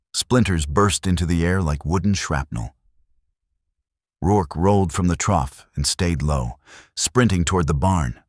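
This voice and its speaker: A man's voice: very deep voice, dry recording, clean studio sound. A man narrating a dramatic scripted Western story.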